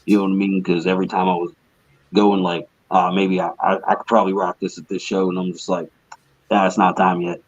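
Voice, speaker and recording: A raspy male musician guest answering interview questions in a laid-back way, often saying "you know what I mean.". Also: low-pitched, flat delivery, a little fast, no room sound, lo-fi sound.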